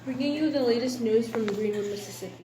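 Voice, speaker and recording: A woman's voice: fairly high pitch, quick pace, warm tone, a little hiss or hum, poor audio. A woman reading a scripted news report aloud as one of two presenters.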